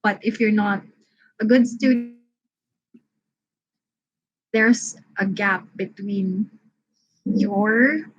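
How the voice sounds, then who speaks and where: A woman with a husky voice speaking personally and reflectively as a webinar panelist, halting and rephrasing, on muffled online-call audio. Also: mid-range voice, fairly even intonation. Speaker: webinar panelist, coach and teacher. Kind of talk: personal, reflective unscripted talk.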